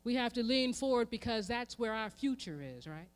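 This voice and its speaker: A woman with an American accent delivers an impassioned sermon, using emphatic repetition and swelling from hushed to forceful. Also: on the high side, animated, moderate pace. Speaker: reverend speaking at a memorial event. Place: live event before an audience.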